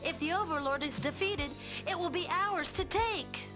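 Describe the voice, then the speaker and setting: A woman's voice: extremely high pitch, moderate pace, music in the background. A woman voicing a feisty, boastful dwarf artisan in a video game, brash and confident. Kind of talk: video game cutscene acting.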